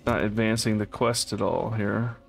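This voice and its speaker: A man's voice: fairly low pitch, fairly even intonation, normal speed, creaky. A man chatting casually with a friend while playing a co-op role-playing game, asking the characters questions and reading game text aloud. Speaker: co-op player. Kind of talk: casual let's-play chat.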